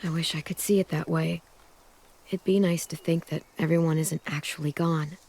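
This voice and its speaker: An actress playing a caring, resolute teenage girl survivor in a video game drama, with a husky voice. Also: low voice, steady tone, moderate pace, a little mellow. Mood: wistful.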